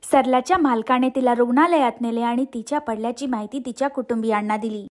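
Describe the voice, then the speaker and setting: High-pitched female storyteller. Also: brisk. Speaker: narrator. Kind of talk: scripted story narration.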